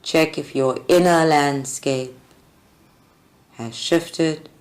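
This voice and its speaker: A woman leads a guided group meditation with deliberate, measured phrasing and long pauses. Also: sits low, steady tone, a little mellow. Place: group meditation at a creativity centre. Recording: live recording with music behind. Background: faint music.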